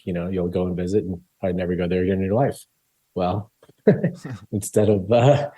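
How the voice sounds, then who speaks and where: Low-voiced man, rambling guest answers. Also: warm tone, no echo, poor audio. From Colombia. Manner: rambling, many ums and restarts, laughs easily. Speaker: club founder, interview guest. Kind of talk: casual interview answers.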